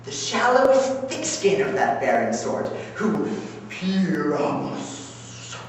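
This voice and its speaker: A man playing a mischievous male fairy sprite, declaiming Shakespearean verse loudly with swooping, drawn-out words. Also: a little high, sing-song. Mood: gleeful, mischievous. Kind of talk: theatrical Shakespearean verse monologue. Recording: echoey theater recording from far off, noisy.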